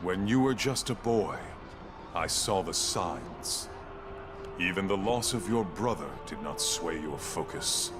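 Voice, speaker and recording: A man's voice: low voice, lively intonation. A man playing a stately, proud Spartan elder in a video game, speaking in a solemn, ceremonious way. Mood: solemn, approving. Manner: measured, ceremonious. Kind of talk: video game dramatic acting. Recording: music behind.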